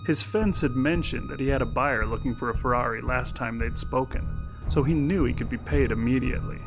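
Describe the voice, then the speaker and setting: A man's voice: mid-range voice, brisk, low-quality recording. A man narrating a scripted short story in a suspenseful storytelling manner. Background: music.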